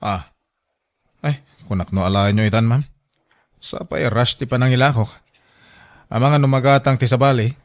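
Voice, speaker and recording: A man's voice: medium pitch, normal speed, long pauses, natural voice. Man acting a polite, pushy Ilocano salesman. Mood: persuasive. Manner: polite, pressing. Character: polite but pushy male car seller. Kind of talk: Ilocano radio drama acting.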